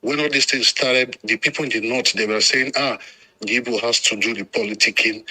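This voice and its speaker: A man's voice: mid-range voice, steady tone, a little fast, sharp tone, low-quality recording. A man from Lagos with a Nigerian accent, calling a radio phone-in, speaking emphatically and spontaneously with repetition and some Pidgin. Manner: agitated, emphatic, repeats himself.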